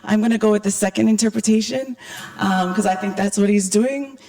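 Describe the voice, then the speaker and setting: A woman from Hawaii speaking as an invited panelist to an audience, with a croaky, hoarse voice and a conversational, wry manner. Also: medium pitch, fairly quick, mellow, poor audio. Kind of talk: public talk to an audience.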